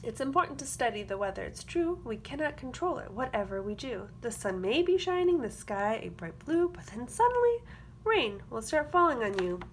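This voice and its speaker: Higher-voiced woman reading a children's book aloud. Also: moderate pace. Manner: rhythmic, expressive delivery of rhyming verse with explanatory asides. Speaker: teacher reading aloud to a class. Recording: clear background noise.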